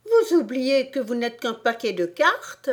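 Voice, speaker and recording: A woman's voice: high-pitched, big pitch swings, a little slow, pitched above the performer's own voice, full character voice. A woman voicing a bold, cheeky, outspoken girl in a storybook, frank and defiant. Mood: defiant, mocking.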